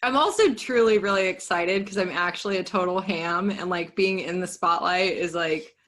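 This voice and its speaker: A woman's voice: on the low side, moderate pace, a bit bright. A woman in her thirties talking candidly as a guest on a podcast about her work as a yoga and business coach, chatty and self-deprecating. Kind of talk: podcast interview.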